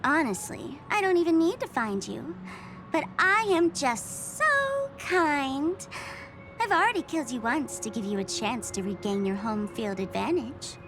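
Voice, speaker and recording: A woman's voice: sits high, very animated, a little slow, a bit bright, slight background noise, full character voice. A woman voicing a playful, mocking masked trickster in a game dub, theatrical and fond of her own jokes. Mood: teasing. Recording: game cutscene with music underneath.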